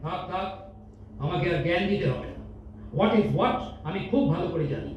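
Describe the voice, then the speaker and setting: Man acting stern high-pitched boss, echoey hall. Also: normal speed, close to an everyday voice. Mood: impatient, dismissive. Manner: scolding, pompous, peppers speech with English. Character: stern, condescending male company boss, business-minded and impatient. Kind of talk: amateur stage audio drama acting. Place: multipurpose hall stage. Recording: distant echoey hall, dull, faint noise.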